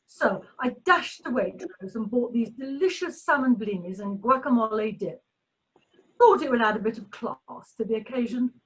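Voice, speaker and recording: Casting: actress as arch society snob for radio comedy, high voice swooping widely, catty and dramatic. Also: female voice, a bit bright, poor audio. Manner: arch, exclamatory. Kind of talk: amateur radio play acting.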